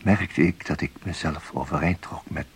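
An actor playing a dazed, horrified man narrating a nightmare in a radio play, hushed, husky and haunted. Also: rumbling low, normal speed, crowd noise.

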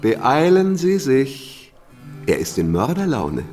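A man's voice: mid-range voice, wildly swooping pitch, slow, a little mellow, soft background music. An actor in a German radio drama playing a gossipy, teasing theatre doorman. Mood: gleeful warning.

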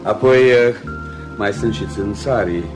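A man's voice: fairly low pitch, close to an everyday voice. An actor playing a dry, sardonic man who needles others with ironic understatement in a radio play with music. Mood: dry irony. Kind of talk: Romanian radio theatre acting.

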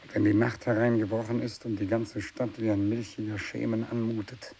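Man acting a tormented, gravelly renegade missionary. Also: on the low side, brisk, close to an everyday voice. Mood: bitter. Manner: brooding, intense, swinging from hushed whispers to anguished cries. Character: tormented fanatical renegade missionary man, bitter, feverishly unravelling. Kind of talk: literary radio drama monologue. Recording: steady tape hiss.

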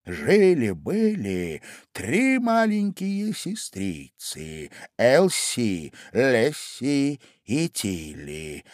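A man voicing a sleepy little male dormouse, hoarse with sleep and drawling very slowly. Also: medium pitch, wildly swooping pitch, no room sound, clean studio sound, voice pushed up, heavily acted. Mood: drowsy. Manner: very slow, drowsy drawl.

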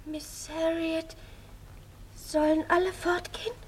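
Eerie, very high-voiced little girl medium. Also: little pitch movement, slow pace, slight background noise. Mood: eerie whispering. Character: eerie young girl medium possessed by a ghost. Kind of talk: German radio play acting.

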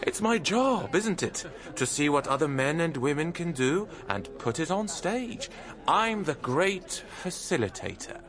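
An actor playing a genial, self-assured young theatre impresario who narrates and speaks in a radio drama. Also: male voice, a little high, sing-song, measured pace, a bit bright. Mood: effusive, proud. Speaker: narrator and character.

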